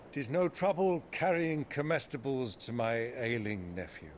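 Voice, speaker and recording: A man's voice: medium pitch, a little hiss or hum, lightly acted. A man acting a kindly, courtly older noble uncle in a video game, formal and archaic. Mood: gently amused. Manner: formal, archaic, gently expressive.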